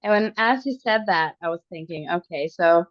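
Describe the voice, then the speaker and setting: A woman with a Norwegian accent chatting as a podcast co-host, haltingly searching for her words in English. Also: fairly low pitch, expressive, no room sound, dull audio. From Norway. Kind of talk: casual podcast conversation.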